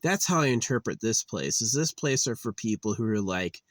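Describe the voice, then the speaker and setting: A man's voice: fairly low pitch, animated, normal speed, dry recording, clean studio sound. A man in his late thirties musing in a rambling, weary monologue, with lots of fillers and restarts. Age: 30s. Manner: weary, resigned. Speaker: channel host.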